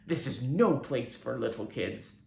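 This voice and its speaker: A man voicing a grumpy, green-skinned cloaked man with a low, gravelly voice. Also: expressive, measured pace, dark tone, echoey room. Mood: threatening.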